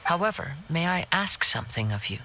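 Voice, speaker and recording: A man playing a calm, principled young wandering samurai in a video game cutscene, speaking formally and gently. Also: on the high side, brisk, noisy background. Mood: calm, earnest.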